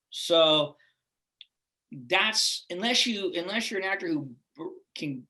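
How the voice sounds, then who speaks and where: A man's voice: on the high side, pushed and bright. A middle-aged man from Los Angeles with a laid-back Californian accent, chatting loosely as an interview guest, with a lot of "like" and "right?" in his talk. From Los Angeles, USA. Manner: rambling, full of "like" and "right?". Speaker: guest actor. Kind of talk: casual interview chat.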